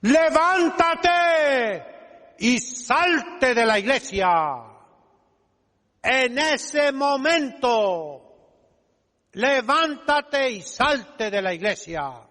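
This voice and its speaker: A man's voice: very high-pitched, animated, extremely slow, sharp tone. A man preaching a sermon as a priest, emphatic and didactic, putting rhetorical questions to his listeners. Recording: long reverberant echo of a large hall.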